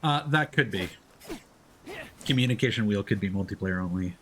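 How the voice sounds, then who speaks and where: A man's voice: on the low side, lively intonation, moderate pace, fairly bright tone, a little hiss or hum. A man doing casual live game commentary, thinking aloud into a streaming mic with game music behind him. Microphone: streaming microphone with headphones.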